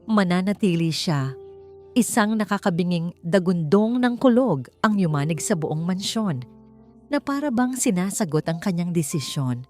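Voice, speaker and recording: Low-voiced woman narrating dramatically. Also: lively intonation, moderate pace, darkish tone, soft background music. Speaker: audiobook narrator. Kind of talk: dramatic scripted story narration.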